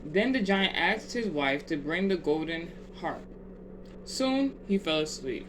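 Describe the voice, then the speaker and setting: A storyteller reading a children's bedtime tale aloud in deliberate, measured phrases. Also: medium pitch. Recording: clear steady background noise.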